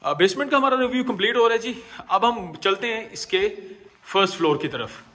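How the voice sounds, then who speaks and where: A man with a high voice and a Pakistani Urdu accent walks through an empty house, describing each room in an upbeat salesman's patter. His voice bounces off bare tiled walls, picked up by a handheld camera mic as he moves. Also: expressive, a little fast, fairly bright tone. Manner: upbeat salesman patter mixing English phrases. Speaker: property tour host. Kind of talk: walkthrough house tour narration. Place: empty house, large tiled rooms indoors. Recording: echoey empty rooms.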